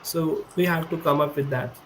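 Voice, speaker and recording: A man's voice: fairly high pitch, moderate pace, background noise. A young man in his twenties whose first language is Hindi, speaking on a video call as a podcast guest and explaining things earnestly, often correcting himself mid-sentence. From India. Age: 20s. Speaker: guest, PhD student. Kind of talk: remote podcast interview. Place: indoor room with a high-backed chair.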